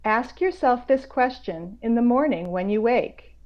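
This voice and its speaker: A woman's voice: on the high side, lively intonation. Woman reading aloud, dull lo-fi audio. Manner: slow, measured reading broken by conversational commentary. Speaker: children's author reading her picture book aloud. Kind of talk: read-aloud with explanatory asides for children. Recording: dull, lo-fi online call audio.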